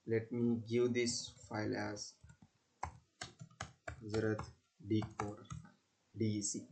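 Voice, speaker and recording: Halting young man giving a software demo. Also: fairly low pitch, level tone. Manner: halting, repeats himself. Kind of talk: unscripted screen-recording tutorial.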